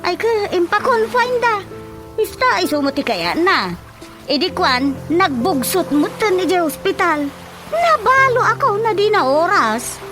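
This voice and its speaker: A woman's voice: very high voice, sing-song, unhurried, music in the background, full character voice. A woman with an Ilocano accent playing a flirty, giggly widow in a radio comedy drama. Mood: mock mournful.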